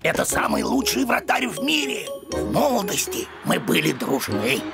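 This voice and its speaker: A kindly old cartoon grandfather dog with a gravelly creak. He tells things theatrically, his pitch swinging widely, with music playing behind him. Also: huge pitch swings, normal speed, full character voice. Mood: proud, dramatic. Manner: encouraging, theatrical storytelling. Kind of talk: children's cartoon voice acting.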